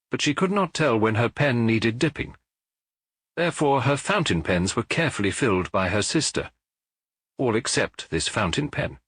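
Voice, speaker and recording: A man voicing a little priest detective in an audiobook, gentle and earnest as he explains in a measured, lecturing way. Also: fairly low pitch, husky, no echo. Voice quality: gentle, even. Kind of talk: audiobook character dialogue.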